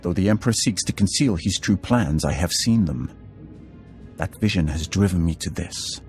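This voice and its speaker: A man voices an ancient Sith lord for a game cutscene. The voice is very deep, measured and formal, with portentous calm, over background music. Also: lightly acted. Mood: solemn, earnest. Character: solemn centuries-old Sith lord, calm defector with a vision.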